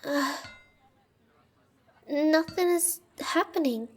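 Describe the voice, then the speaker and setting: A woman's voice: high-pitched, extremely slow. A woman playing a young, loyal, cautious girl serving a queen, earnest and deferential, in a fantasy audio roleplay. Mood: helpful, uneasy.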